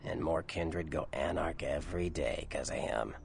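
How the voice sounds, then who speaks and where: An actor voicing a cynical, streetwise vampire informant in a video game, gravelly, wry and blunt. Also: male voice, a bass voice, normal speed, slight background noise. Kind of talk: video game character dialogue.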